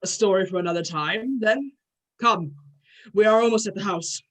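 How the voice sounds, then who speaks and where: An amateur performer playing a proud, gruffly impatient male thunder god with formal, archaic phrasing in a play reading. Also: medium pitch, moderate pace, a bit bright, natural voice. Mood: dry, stern.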